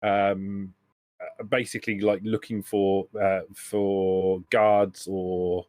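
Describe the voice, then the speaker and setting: Low-pitched man running a tabletop game. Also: little pitch movement, slow, a little mellow, no echo. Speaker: game master. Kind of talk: narrating a tabletop roleplaying game.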